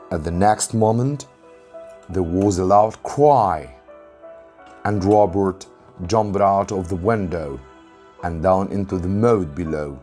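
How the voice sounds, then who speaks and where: A man from Egypt, an English teacher, reading a story aloud with deliberate, dramatic emphasis. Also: deep voice, darkish tone.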